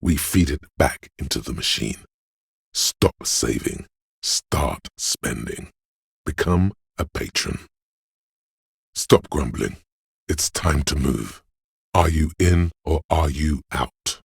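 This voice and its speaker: A man playing a swaggering, money-obsessed, suave inner voice in a video game, gravelly and husky, with a cocky, theatrical delivery. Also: extremely low pitch, takes it slowly, dry recording, clean studio sound, full character voice. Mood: cocky, pushy. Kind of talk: video game voice acting, dramatic narration.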